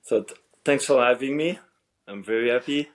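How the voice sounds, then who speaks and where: A middle-aged man, a surf coach guest who grew up in Senegal, telling his story in halting English with many restarts. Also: mid-range voice. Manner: halting, restarts words, speaks non-native English. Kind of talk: casual storytelling interview.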